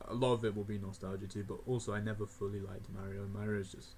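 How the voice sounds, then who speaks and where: A young man of eighteen talks loosely through his rankings, his voice sitting a little low, with frequent chuckles and filler words. A faint hum of the room sits behind him, with the odd mouse click. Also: level tone, fast talker, a little mellow. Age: young adult (18). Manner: rambling, laughing often, many fillers. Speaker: gaming video creator. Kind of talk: casual tier list commentary.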